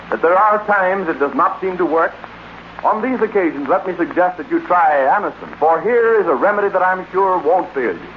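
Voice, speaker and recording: A man with an American accent reading a scripted vintage radio advertisement as a persuasive, measured announcer, on a dull, noisy old recording. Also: high-pitched. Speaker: commercial announcer.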